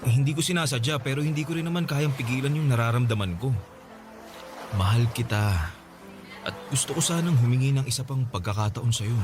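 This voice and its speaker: A man's voice: mid-range voice, a little fast, over music, close to an everyday voice. An actor playing a remorseful, lovelorn young man in a radio drama, pleading earnestly and hesitantly. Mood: pleading, apologetic.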